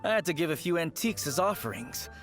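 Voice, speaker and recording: A man's voice: fairly high pitch, animated, fast, fairly bright tone, soft background music. A man playing an earnest, trusting believer in a video game, speaking with reverence. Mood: earnest, hopeful. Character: earnest, trusting male believer, devoted son.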